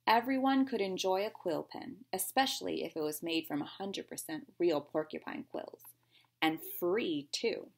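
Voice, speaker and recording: A woman's voice: lowish voice, a little slow, gravelly. A woman reading a picture book aloud to young children like a teacher, expressively, with simple explanations and questions along the way.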